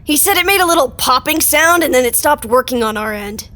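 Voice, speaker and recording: A woman's voice: high voice, animated, a little fast, sharp tone. A woman in an audio drama playing a brash, unworried colleague who talks bluntly and casually.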